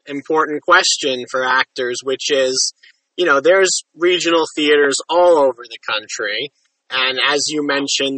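A man's voice: mid-range voice, pushed and bright, no room sound, distant mic, poor audio. A man hosting a casual interview, asking follow-up questions with frequent fillers and restarts. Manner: conversational, asks follow-up questions with many fillers. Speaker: interview host.

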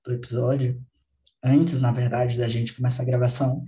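Earnest man, mellow voice. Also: medium pitch, flat delivery, normal speed. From Bahia, Brazil. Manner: earnest, reflective, repeats for emphasis. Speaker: podcast host. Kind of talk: casual podcast conversation.

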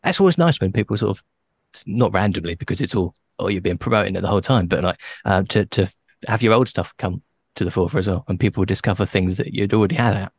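A man hosting a casual podcast interview, his voice sitting low. He talks in a friendly, playful way and laughs easily. The sound is dry, with no room echo. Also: fast, husky. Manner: chatty, laughs often, playful teasing. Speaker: podcast host.

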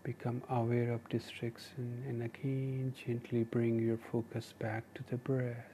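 Indian guy doing guided meditation, flat mellow voice, short calm instructions w pauses, bit of background noise. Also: medium pitch. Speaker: meditation teacher and podcast host.

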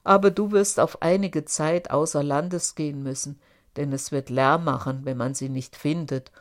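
A woman voicing a boastful, greedy male giant in an audiobook, speaking loudly and scornfully. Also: steady tone, normal speed, lightly acted. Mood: mocking. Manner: loud, booming, scornful. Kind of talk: audiobook character voice.

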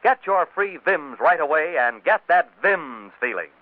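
An American man with a lively, swinging voice pitches a product in 1940s radio ad style. He sounds like an animated salesman. The recording is dry, dull and narrow. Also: unhurried, dry recording. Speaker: commercial announcer.

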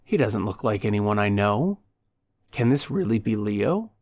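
A man's voice: lowish voice, big pitch swings, a little slow, a little mellow, no echo, studio quality, pitched below the performer's own voice. A man reading the part of a confused, reluctant man in an audiobook, tentative and awkwardly polite. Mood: puzzled.

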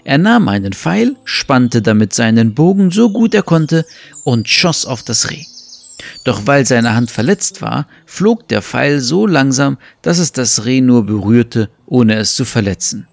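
A man's voice: medium pitch, very animated, a little slow, creaky. A man narrating a scripted fable as the storyteller of an audio story. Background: faint music.

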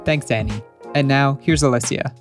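A man from Canada hosting a relaxed call-in interview, chatting in an easygoing, encouraging way. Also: mid-range voice, normal speed, faint music behind. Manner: easygoing, encouraging, laughs along. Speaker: host. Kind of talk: casual interview chat.

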